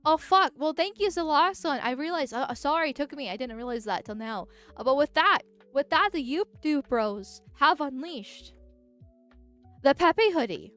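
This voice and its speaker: Brief: woman streamer, nasal and high-pitched, rambling, giggly, faint music behind. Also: fairly bright tone. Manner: rambling, giggly, with frequent filler words. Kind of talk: casual livestream chat.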